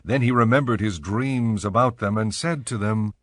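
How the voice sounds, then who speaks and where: A man narrating scripture from a script in a measured, formal way. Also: lowish voice, a bit bright, dry recording, clean studio sound.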